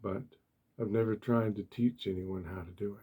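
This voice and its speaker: A man speaking softly and gently in short, deliberate phrases with pauses, as an ASMR host giving a meditation talk. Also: on the low side, steady tone. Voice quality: soft, hushed, breathy.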